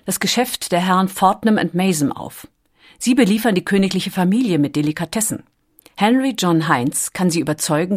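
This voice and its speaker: A female narrator with a slightly low voice delivers a scripted documentary.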